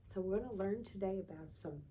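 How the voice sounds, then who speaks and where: Woman, children's storytime teacher. Soft-edged mellow tone, sing-song read-aloud with little questions to the listeners, slight background noise. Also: mid-range voice, fairly quick, low-quality recording.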